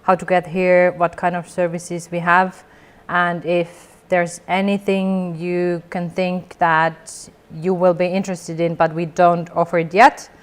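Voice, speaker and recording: A woman from South Finland whose first language is Finnish, a tourism business owner chatting outdoors in a relaxed, matter-of-fact way with a light humor. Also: fairly low pitch, measured pace. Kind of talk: casual interview chat. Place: outdoors by a river in Lapland.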